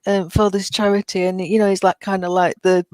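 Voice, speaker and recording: A woman's voice: mid-range voice, flat delivery, fairly quick, darkish tone, dry recording. A woman talking casually as a panelist on a fan podcast. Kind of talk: casual chat.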